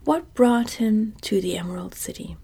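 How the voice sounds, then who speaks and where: A woman's voice: medium pitch, animated, normal speed, creaky, slightly rough. Scarecrow, a fussy straw king, as a whispering woman puts him on. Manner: soft whispered reading.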